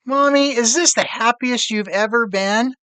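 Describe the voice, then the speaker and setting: A man voicing a curious, affectionate little boy in a storybook, asking his mother eager questions that rise in pitch. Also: measured pace, sharp tone, clean tone, no room sound, full character voice.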